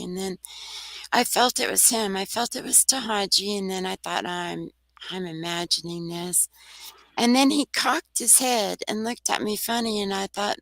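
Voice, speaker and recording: A soft-voiced woman, a guest on a talk radio show, telling a personal story in an earnest, rambling way. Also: mid-range voice, creaky, no echo, low-quality recording. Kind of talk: casual storytelling.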